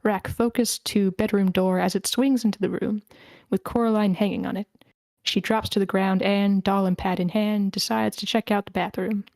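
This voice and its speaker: A woman's voice: medium pitch, steady tone, normal speed, darkish tone, no room sound. A woman reading stage directions as narrator at a screenplay table read over an online call. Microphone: video call.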